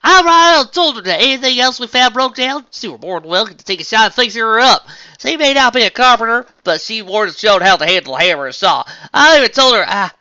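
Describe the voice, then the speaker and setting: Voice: medium pitch, lively intonation, a little fast, hardly any pauses, pushed and bright, no room sound, lo-fi sound, pitched above the performer's own voice. A narrator voicing a plain-spoken, stubborn young female farm pony with a folksy way of talking. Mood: proud, excited. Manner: folksy, rustic country talk.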